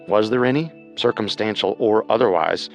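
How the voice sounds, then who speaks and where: A man co-hosting a scripted true-crime show in a measured, serious, analytical manner. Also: on the low side, vocal fry, soft background music.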